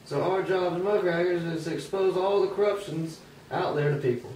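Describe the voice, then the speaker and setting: A man's voice: high-pitched, brisk, a little mellow, lightly acted. A man playing a disgruntled old-time American working man in an amateur puppet show. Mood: earnest. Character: disgruntled 19th-century American working man, sceptical of politics. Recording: echoey room, distant mic, faint noise.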